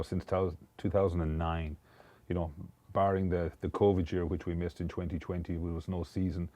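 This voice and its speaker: Deep, mellow-voiced podcast host man. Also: no echo. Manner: rambling, chatty, with many restarts. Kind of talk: casual interview podcast.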